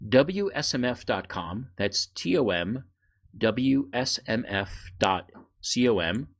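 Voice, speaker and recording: Halting, joking man co-hosting a podcast. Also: mid-range voice, expressive. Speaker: podcast co-host. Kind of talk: casual conversational podcast chat.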